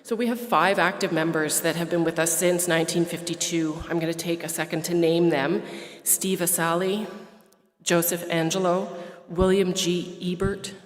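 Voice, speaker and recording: A woman from Quebec whose first language is French, giving a brisk report at an association's annual meeting, with some fillers and restarts. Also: fairly low pitch, fairly even intonation. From Quebec, Canada. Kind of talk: prepared speech at a meeting. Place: meeting hall at an airport. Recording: roomy hall reverb.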